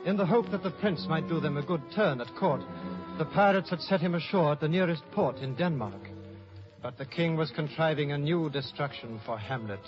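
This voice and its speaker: A male narrator reads scripted storytelling for a fifties radio play. His voice is slightly high, the recording dull, with prominent music behind him. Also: expressive, moderate pace.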